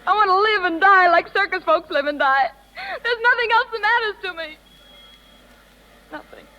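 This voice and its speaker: An actress playing a young circus rider, anguished and stubborn, in 1930s radio drama style on a dull old recording. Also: female voice, very high-pitched, moderate pace, sharp tone, slight background noise. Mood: impassioned.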